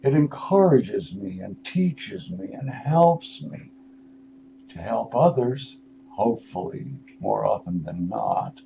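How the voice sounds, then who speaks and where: Older man, gravelly mellow voice, rambling philosophical radio monologue, halting delivery with emphatic stresses, dull lo-fi sound. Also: fairly low pitch, big pitch swings. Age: 60s or older. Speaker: radio show host. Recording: dull lo-fi radio audio with noise.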